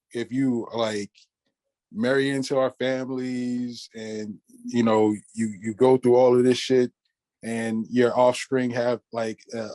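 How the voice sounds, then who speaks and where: A man's voice: lowish voice, darkish tone. A man co-hosting a podcast, speaking bluntly and dismissively after hesitant starts. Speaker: podcast co-host. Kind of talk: casual discussion.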